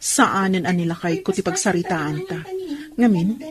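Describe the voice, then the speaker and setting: A woman's voice: lowish voice, normal speed, lightly acted. A woman playing a sympathetic, chatty friend in a radio drama. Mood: confiding. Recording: busy crowd chatter behind the voice.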